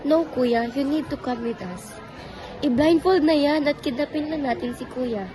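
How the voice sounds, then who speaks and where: A woman's voice: high voice, normal speed, over music, lightly acted. A woman with a Filipino accent playing an eager, pushy young booth vendor in an amateur radio drama, salesy and insistent. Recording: noisy recording with ambience behind.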